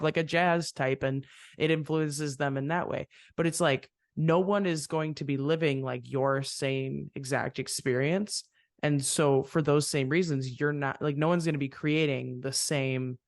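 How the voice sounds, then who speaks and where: A man's voice: medium pitch, dry recording, studio quality. A man hosting a casual music interview podcast, talking reflectively and rambling through long thoughts. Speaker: podcast host.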